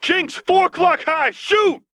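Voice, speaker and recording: Young fighter in an action story, read by a man: high, sharp, urgent shouted commands, dry sound. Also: slow, no room sound, full character voice. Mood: urgent, shouting.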